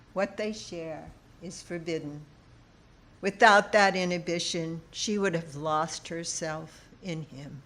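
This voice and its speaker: A woman reading a literary personal essay aloud, with deliberate, dramatic phrasing and pauses. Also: lowish voice, fairly bright tone. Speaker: essayist reading own work.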